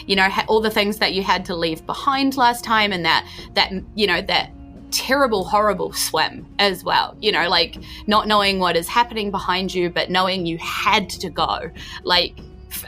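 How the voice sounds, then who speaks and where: A woman, improvising narration as game master of a tabletop game podcast in a chatty, excitable, emphatic way. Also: medium pitch. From New Zealand.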